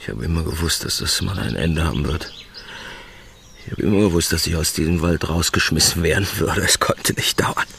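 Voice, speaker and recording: An actor playing a bitter, proud working-class man in a radio drama, terse and guarded, with a gravelly voice. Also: extremely low pitch, fairly quick, fairly bright tone, slight background noise, heavily acted.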